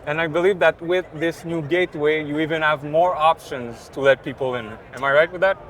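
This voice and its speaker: A man's voice: a little high, steady tone, brisk, a bit bright. A man co-presenting as a casual interviewer at a trade show, asking questions in an enthusiastic, agreeable way. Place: busy indoor trade show booth. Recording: constant trade show floor noise. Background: exhibition hall ambience.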